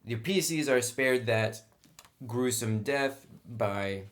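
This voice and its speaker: A man's voice: medium pitch, slow. A man giving a casual, explanatory review as a tabletop game reviewer, with some enthusiasm. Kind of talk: casual product review talk.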